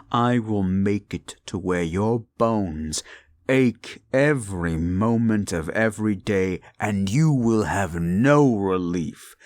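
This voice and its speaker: A man voicing a cruel, unrepentant male demon in an audio roleplay, talking slowly with contempt and dropping into menacing whispers. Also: no room sound, heavily acted. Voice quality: gravelly, low, menacing.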